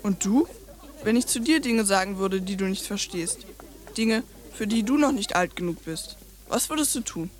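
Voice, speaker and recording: A woman's voice: medium pitch, expressive, moderate pace, natural voice. A woman playing a brash, foul-mouthed, stubborn young girl in a radio drama, bluntly rude and insistent. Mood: cheeky, probing.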